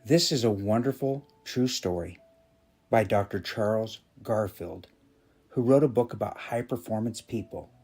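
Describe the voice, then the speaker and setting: Male storyteller, husky mid-pitched voice, inspirational narration, deliberate emphatic phrasing, podcast host. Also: a little mellow.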